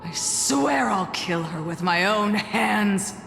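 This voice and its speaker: A proud, bitter fighter from a video game, full of scorn and defiance. The delivery is slow and dramatic with sweeping pitch, echoing over background music. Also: female voice, animated, gravelly, heavily acted. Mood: furious, scornful. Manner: dramatic, scornful, swinging from shouts to hisses. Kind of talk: scripted video game voice acting. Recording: echoey hall reverb under music.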